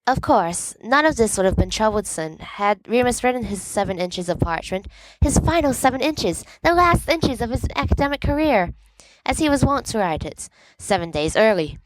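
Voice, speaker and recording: A woman's voice: fairly high pitch, lively intonation, moderate pace, no echo, poor audio. A woman reading fiction aloud as an audiobook narrator, with a wry, emphatic comic delivery. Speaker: volunteer audiobook reader of fan fiction. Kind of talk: expressive scripted narration of a story.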